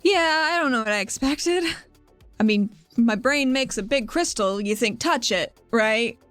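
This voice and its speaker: A woman's voice: a little high, expressive, unhurried, dry recording. A woman playing a tabletop role-playing game, talking casually and partly in character. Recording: faint music under a dry voice. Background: soft background music.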